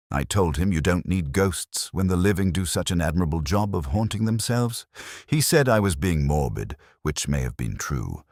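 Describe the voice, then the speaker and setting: Guy playing a brooding english detective telling his case, super deep voice, solemn n measured, dry studio sound. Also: no echo, exaggerated voice. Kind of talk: scripted first-person mystery narration, literary.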